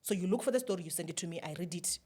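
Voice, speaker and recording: A South African woman telling her story in a casual interview, animated, laughing often and slipping in local phrases. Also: on the low side, rapid-fire, slightly rough. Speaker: guest, YouTube creator.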